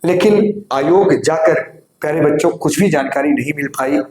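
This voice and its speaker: Earnest exam-coaching teacher, man, slightly hoarse throat, voice sitting a bit high, recorded in a reverberant room. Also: moderate pace, darkish tone, a little hiss or hum. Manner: earnest, repeatedly urges students to listen carefully. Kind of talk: direct-to-camera exam news update. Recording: roomy echo.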